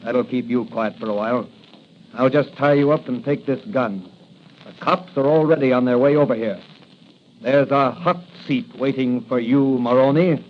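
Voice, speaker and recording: An actor playing a bold, resolute masked crime-fighting hero with commanding, dramatic delivery in 1940s radio style. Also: male voice, mid-range voice, moderate pace, lightly acted. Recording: dull, noisy 1940 radio recording.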